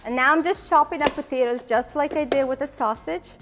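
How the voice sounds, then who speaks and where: A woman's voice: high-pitched, a little fast, music in the background. A woman in her thirties from Ukraine with a Ukrainian accent, chattily hosting a cooking demonstration and laughing at her own slips. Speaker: cooking show host.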